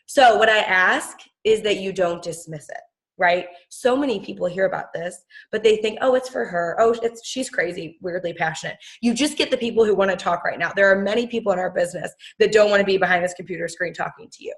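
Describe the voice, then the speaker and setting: A woman's voice: mid-range voice, a little fast. A woman hosting a business call, giving an emphatic, persuasive motivational talk and introducing her guest. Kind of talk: motivational pitch.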